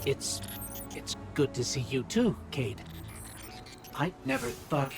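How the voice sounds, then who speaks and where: A slow-spoken earnest little machine companion. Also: male voice, medium pitch, very animated, music in the background. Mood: hesitant, tender. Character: small earnest machine companion of light, gentle male guide. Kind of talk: video game cutscene acting. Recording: clear ambient noise behind the voice.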